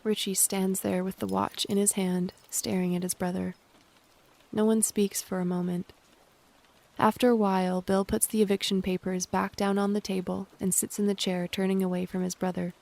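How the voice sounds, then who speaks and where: A woman's voice: medium pitch, flat delivery, normal speed, gravelly, a little mellow. A woman reading scripted stage directions as the narrator of an audio drama.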